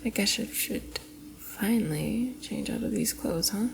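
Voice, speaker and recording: Casting: woman to play young cosplayer girl for intimate ASMR roleplay; flustered, teasing, affectionate, medium pitch with creaky edges. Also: expressive, a little slow, slight background noise. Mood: soft, coy. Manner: giggly, coy, often dropping into soft breathy murmurs. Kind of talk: ASMR roleplay, intimate one-sided dialogue to the listener.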